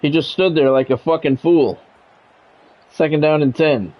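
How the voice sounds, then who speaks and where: A man's voice: fairly high pitch, moderate pace. A man streaming a sports video game, switching between play-by-play commentary and casual chat. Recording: dull lo-fi audio, music behind.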